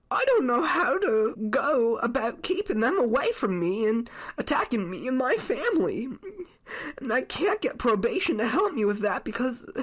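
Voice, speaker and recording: A woman playing a tearful, overwhelmed male defendant who pleads haltingly in a courtroom reenactment, voice breaking. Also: high voice, full character voice. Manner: halting, repeating, whiny. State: crying, voice breaking. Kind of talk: amateur readers theater court transcript reenactment.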